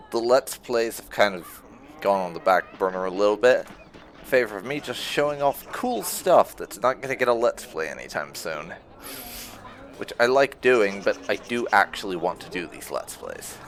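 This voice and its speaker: A man's voice: fairly low pitch, lively intonation, normal speed. A man hosting a let's play, giving casual, rambling gameplay commentary in an informal way. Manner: rambling, informal, with occasional swearing.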